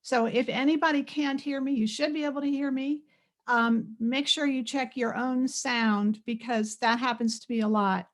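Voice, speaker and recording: An older woman with a soft voice gives an informal online lecture in a conversational, hesitant way, pausing often. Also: on the high side. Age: older, around 70. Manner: conversational, hesitant, with frequent pauses. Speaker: guest lecturer, art historian and curator. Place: home office, on a video call. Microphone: added external microphone on a computer.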